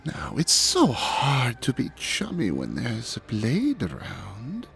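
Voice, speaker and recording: Slow, drawling ancient god, played by a man. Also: medium pitch, huge pitch swings, creaky, a bit bright, full character voice. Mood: silky, coaxing. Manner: theatrical, pompous, drawling, mock-courteous. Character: ancient vain male dragon god, suave, hypnotic, menacing host. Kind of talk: scripted audio drama monologue addressed to the listener.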